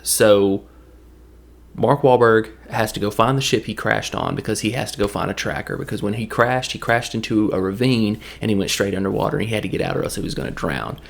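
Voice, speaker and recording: A man with a Southern Tennessee drawl talks through a film in a casual, wandering way. His voice sits a little low and has a gravelly, fry-edged texture. He keeps the tone light and joking. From Tennessee, USA. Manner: loose, jokey, drifts into quick impressions. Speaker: movie reviewer. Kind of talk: casual rambling movie recap.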